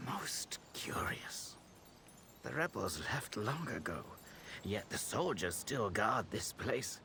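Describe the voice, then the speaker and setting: A man playing a courteous, solemn Taoist temple healer in a video game, speaking formally and gently, with breathy phrase endings. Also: on the low side, slight background noise, exaggerated voice. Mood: grave, thoughtful. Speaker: non-player character in a video game. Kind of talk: dramatic video game dialogue. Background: background music.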